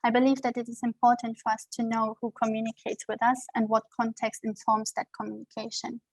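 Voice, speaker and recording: A woman whose first language is German, giving an earnest, measured lecture from her notes over a video call from home. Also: fairly high pitch, flat delivery, no echo, poor audio. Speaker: guest lecturer.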